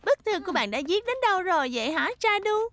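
Sweet, very high-voiced little schoolgirl. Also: very animated, fast, fairly bright tone, exaggerated voice. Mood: earnest, helpful. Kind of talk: cartoon dubbing.

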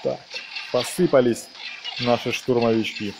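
A man's voice: mid-range voice, fairly even intonation, measured pace, gravelly, heavy background noise. A man giving casual, playful let's play commentary, talking along with the action of a video game. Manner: playful, talks along with the action, uses diminutives. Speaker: gameplay commentator.

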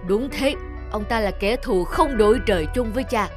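A proud rain god from a cartoon fairy tale, acted by a woman, stern and taunting toward his foes. Soft music sits underneath. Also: on the high side, moderate pace, close to an everyday voice. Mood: hostile.

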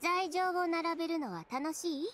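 A woman's voice: very high-pitched, moderate pace, a bit bright. A woman playing a self-assured, blunt young fashion designer in an anime video game, confident and dryly teasing. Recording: game music behind the voice.

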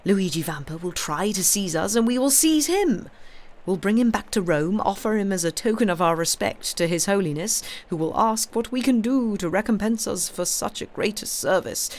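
A woman's voice: medium pitch, animated, normal speed, pitched above the performer's own voice, lightly acted. A woman reading aloud, voicing a playful, ironic young male aristocrat who spins grand schemes. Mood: boastful.

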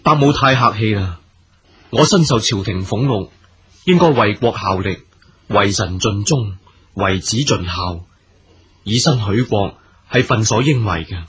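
A man's voice: on the low side, expressive, unhurried, pauses often, fairly bright tone, husky, full character voice. A man acting a courteous, earnest military commander in a period radio drama, speaking formally in Hong Kong Cantonese. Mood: solemn, devoted. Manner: formal, stately, gracious.

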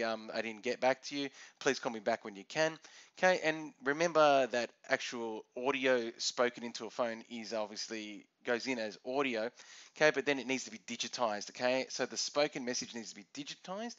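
Guy doing a screencast lesson, middling pitch, explains stuff step by step, kinda dull lo-fi audio. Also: a bit bright. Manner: step-by-step, often checks with "okay". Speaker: teacher presenting a tutorial.

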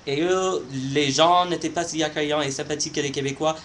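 Young man, French, shy halting vlogger, slightly high pitch. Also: fairly even intonation, fairly bright tone, slight background noise. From United States. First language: not French. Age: young adult. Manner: halting, searching for words, shy. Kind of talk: casual vlog monologue.

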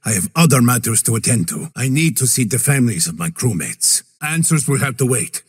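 An actor playing a hearty, courteous and dauntless adventurer in a video game, with a theatrical, husky delivery. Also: male voice, mid-range voice, sing-song, brisk. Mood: grave. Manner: theatrical, courteous, punctuated with tag questions. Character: hearty, gentlemanly adventurer and fighter, jovial, dauntless. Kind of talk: video game character voice lines.

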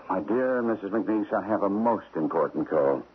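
An actor playing a two-faced, nervous stockbroker in a radio drama, theatrical and evasive. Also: male voice, sits low, expressive, a little slow, slight background noise. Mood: gushing, evasive. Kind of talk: old-time radio drama acting. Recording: tinny old radio broadcast.

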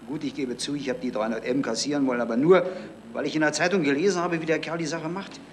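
A man's voice: a little high, fairly even intonation, fast talker, close to an everyday voice. An actor playing a shifty, down-on-his-luck dog thief under questioning in a radio crime drama, evasive and self-justifying. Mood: defensive. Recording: slightly noisy 1970s radio recording.

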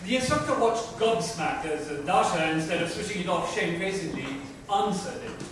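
A man's voice: sits high, lively intonation, moderate pace. A middle-aged man reading a book aloud as dramatic scripted narration. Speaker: reader. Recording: echoey room, distant, noisy.

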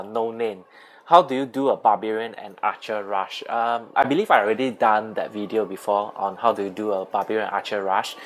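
A young man from Singapore with a Singaporean English accent, hosting a casual question-and-answer video from a small room at home, speaking plainly through an earphone mic with lots of uh and um fillers. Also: fairly low pitch. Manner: plain and unhurried, with frequent uh and um fillers. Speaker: video host. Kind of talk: casual Q&A vlog.

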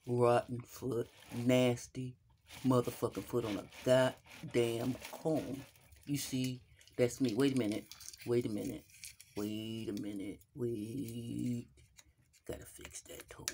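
A man putting on a crude, swaggering character with a rotting foot, cursing and yelling his catchphrases in a livestream comedy skit. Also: mid-range voice, a little hiss or hum. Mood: gruff. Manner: profane, boastful, yelling catchphrases.

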